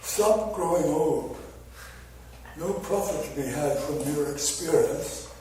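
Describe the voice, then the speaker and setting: A man reading his own poems to an audience, with slow, measured delivery and wry asides between poems. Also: a little high, mellow. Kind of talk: poetry reading with spoken asides. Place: large hall before a live audience. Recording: very echoey hall, voice far from mic, background noise.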